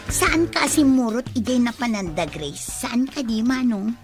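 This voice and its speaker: A woman's voice: a little high, big pitch swings, moderate pace, darkish tone, faint music behind. An actress playing a suspicious, probing woman of the household in an Ilocano radio drama, asking insinuating questions. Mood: prying.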